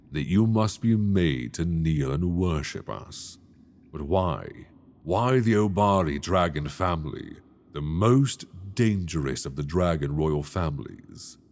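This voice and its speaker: Male actor as an ancient, towering dragon for an ASMR roleplay; low, unhurried, seductive and menacing, close binaural mic. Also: gravelly, darkish tone, heavily acted. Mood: coaxing menace. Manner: slow, intimate, coaxing and menacing.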